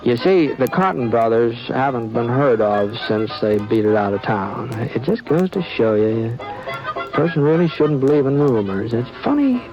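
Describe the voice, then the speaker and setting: A man's voice: medium pitch, sing-song, normal speed, lightly acted. A man playing a folksy drawling cowboy sheriff. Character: easygoing drifting cowboy sheriff, sly. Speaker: lead actor and narrator. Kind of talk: 1950s radio western acting. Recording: dull old radio broadcast with music.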